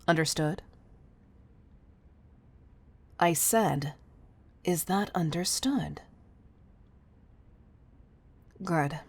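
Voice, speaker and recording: A woman playing a stern, commanding chief executive in a scripted audio roleplay, exasperated and deliberate in her emphasis. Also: low voice, breathy edge, exaggerated voice. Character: stern, commanding female CEO scolding an employee.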